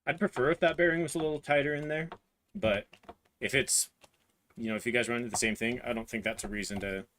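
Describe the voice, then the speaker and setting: A hoarse man in his thirties livestreaming a printer build, talking haltingly as he searches for words and clears his throat. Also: medium pitch, fairly bright tone. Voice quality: voice cutting out, clears throat often. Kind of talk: live build stream, casual talk while working.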